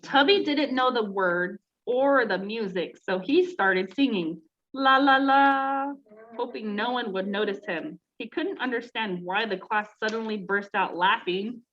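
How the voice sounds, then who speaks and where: A woman reading aloud to children in an expressive, engaging way. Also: medium pitch, unhurried, few pauses. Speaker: storytime reader for children. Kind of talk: read-aloud children's story, then casual chat with children. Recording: dull lo-fi sound, clear background noise.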